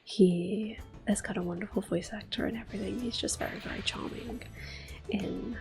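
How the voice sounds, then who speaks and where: A woman in soft, unhurried whispers, commenting on her gameplay as an ASMR gamer. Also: medium pitch, steady tone, creaky, rough recording. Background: soft game music and controller clicks.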